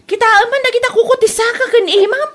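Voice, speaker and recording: A woman's voice: extremely high pitch, brisk, a bit bright. A woman acting a fussy, mocking village woman in an Ilocano comedy drama, taunting others in an Ilocano accent.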